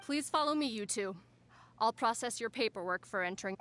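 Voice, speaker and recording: A woman's voice: on the high side, expressive, a little fast, fairly bright tone. An actress playing a confident, teasing female prison guard in a game, with faint noise behind.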